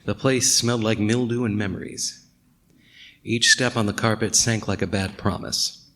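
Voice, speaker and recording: A man's voice: lowish voice, fairly bright tone. A man playing a wry, brooding small-town detective, narrating an audio drama in a dry, hard-boiled manner. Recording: clear background noise and ambience.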